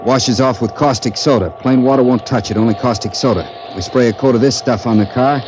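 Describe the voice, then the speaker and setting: A man's voice: medium pitch, a little fast, natural voice. An actor playing a streetwise, nervy mechanic in a crime racket, talking in slangy tough-guy style in a radio drama. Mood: brisk, knowing. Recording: muffled, very noisy old radio recording.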